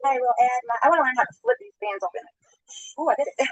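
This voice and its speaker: High-voiced rambling woman streamer. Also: expressive, very fast, a bit bright, dry recording, lo-fi sound. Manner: rambling, greets viewers, laughs often. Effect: sped-altered playback. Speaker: livestreamer. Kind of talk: casual live-stream chat.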